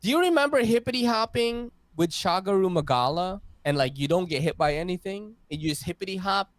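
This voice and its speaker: A man in his forties rambles through a story on a casual podcast, cursing freely and laughing loudly. His fairly high voice swings widely in pitch. The sound is dry and close to the mic. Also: expressive, fairly quick, no room sound. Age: 40s. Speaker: podcast host. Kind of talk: casual gaming chat.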